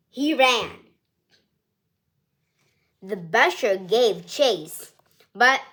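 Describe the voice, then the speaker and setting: A woman's voice: medium pitch, sharp tone. A woman hosting her own channel, reading a picture book aloud to children in a cheerful, chatty, singsong storytelling way.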